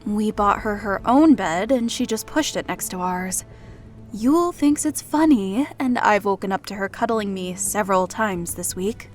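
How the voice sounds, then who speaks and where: A woman's voice: medium pitch, animated, moderate pace, faint music behind, close to an everyday voice. A woman playing a playful, easygoing pregnant woman in a fantasy audiobook, chatting in a casual, gossipy way. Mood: confiding. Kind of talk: fantasy audiobook dialogue over music.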